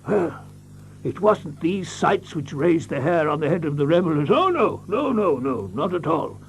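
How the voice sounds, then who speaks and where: Flustered, high-pitched, stammering country doctor. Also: male voice, sing-song, moderate pace, slight background noise. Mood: dramatic storytelling. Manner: stammering, dithering, repeats himself. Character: flustered, fussy, excitable English country doctor with a tale. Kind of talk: theatrical radio drama acting.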